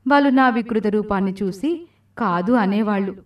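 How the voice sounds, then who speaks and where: A woman's voice: mid-range voice, moderate pace, far from the mic, lo-fi sound, lightly acted. A cursed demon woman from a magical storybook tale, acted by a woman, heard slightly away from the microphone. She speaks in a commanding, theatrical way, as one used to ruling a village by fear and burdened by a curse. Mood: remorseful, confessing. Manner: commanding, threatening delivery. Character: cursed watermelon demoness demanding marriage, menacing then remorseful. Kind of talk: dramatic storybook voice acting.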